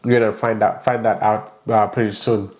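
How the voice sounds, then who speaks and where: A man's voice: on the low side, level tone, normal speed, darkish tone. A man hosting a podcast, chatting casually, laughing often and restarting his sentences. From Kenya. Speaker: podcast host. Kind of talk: casual interview chat.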